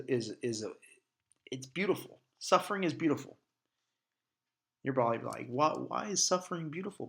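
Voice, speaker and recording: A man's voice: medium pitch, fairly bright tone. Young male podcast host, stuffy nose from allergies, candid and swears a lot, restarts sentences often. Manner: candid and profane, with frequent restarts and fillers. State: congested and sniffling from allergies. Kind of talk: casual solo podcast monologue.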